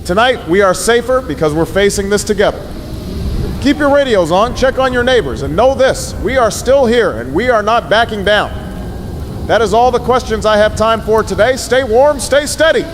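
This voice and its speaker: A man playing a grave, resolute president giving a measured, formal emergency address in an audio drama, with solemn reassurance. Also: high voice, lively intonation, noisy background. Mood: rousing, reassuring. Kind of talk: formal press conference address.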